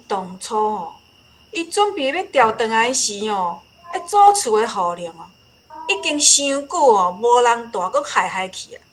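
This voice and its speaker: A woman's voice: fairly high pitch, a bit bright, a little hiss or hum. An actress with a Taiwanese accent playing a nagging, worried older woman in a radio drama. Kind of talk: conversational radio drama acting.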